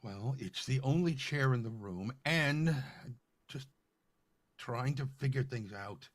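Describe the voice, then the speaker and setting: A man's voice: mid-range voice, expressive, takes it slowly, dry recording. A man playing an anxious, confused, stammering widower in an old-time radio horror play. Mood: brooding, confused. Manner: stammering, theatrical.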